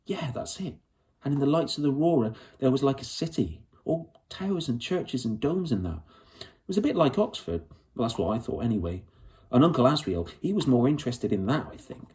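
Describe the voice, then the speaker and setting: A fierce, earnest young girl from a storybook, played by a man, with the voice lifted above his own. The speech is eager and breathless, tumbling along in rough colloquial grammar. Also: medium pitch, sing-song, brisk, darkish tone, voice pushed up, close to an everyday voice. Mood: eager, thoughtful. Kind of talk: children's storybook reading.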